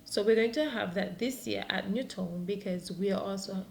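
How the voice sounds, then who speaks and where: Woman, Caribbean accent, creaky voice, interview answers from a departmental spokesperson, roomy echoing sound. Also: mid-range voice, moderate pace. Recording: echoey room with clear background noise.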